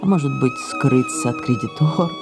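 An older woman acting an imperious, capricious, wistful aging French stage diva in an audio play, with theatrical shifts between exclamation and hush. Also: huge pitch swings, a little fast, over loud music. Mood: wistful, indignant. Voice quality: husky, creaky low voice.

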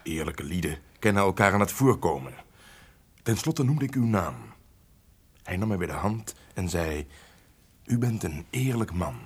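An actor playing an honest, brave castle servant in a radio drama, earnest and conspiratorial, with a husky voice. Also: male voice, deep voice, lively intonation, unhurried, pauses often, exaggerated voice. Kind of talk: theatrical Dutch radio drama acting.

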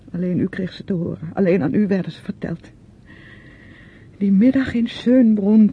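An actress playing a bitter, grieving younger woman in a radio drama, theatrical and reproachful, lingering over her words. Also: breathy edge. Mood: wistful, bitter. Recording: muffled old radio recording, faint rumble.

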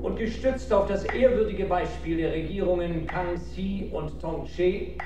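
An actor playing a solemn imperial court official in a radio drama, formally proclaiming ceremonies in measured phrases. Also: male voice, sits high, a little hiss or hum. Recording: roomy, distant, with loud music.

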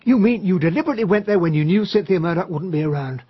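An earnest, slightly naive British gentleman, narrating and acting in a radio drama. His voice is very husky and animated, with polite hesitations. The recording is a little muffled. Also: sits high, fast. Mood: surprised, protesting. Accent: British English.

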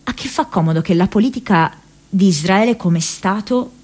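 Actress as a halting, earnest young activist woman. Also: medium pitch, darkish tone, close to an everyday voice. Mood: reflective, earnest. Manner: halting, reflective, conversational, with hesitations and repeated words. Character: young American woman activist reading her diary, earnest, searching. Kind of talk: radio theatre monologue.

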